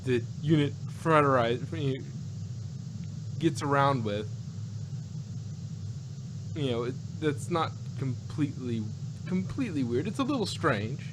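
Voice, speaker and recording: A man in a casual review discussion, speaking in a halting, rambling way as a co-host. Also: mid-range voice, lively intonation. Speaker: podcast co-host reviewing audio dramas. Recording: clearly noisy, humming background.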